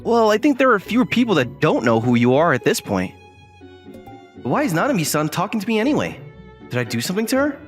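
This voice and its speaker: A man's voice: high voice, wildly swooping pitch, fast. A man playing a young college student, ordinary, guarded and self-deprecating, in an anime-style dub with a hesitant, dry, puzzled delivery. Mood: puzzled, wary. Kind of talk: anime-style dub with first-person narration and inner monologue. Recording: music behind the voice.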